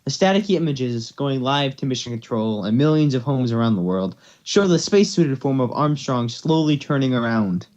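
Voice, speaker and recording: A man's voice: medium pitch, lively intonation, normal speed, hardly any pauses, a little mellow, muffled recording. A man hosting and narrating an amateur story reading, slipping between scripted narration and casual banter. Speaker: narrator and host.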